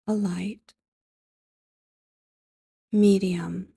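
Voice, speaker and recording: A woman leading guided sleep hypnosis in a soft, breathy, near-whispered voice, gentle and measured, with long pauses, close on the microphone. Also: fairly low pitch, no echo.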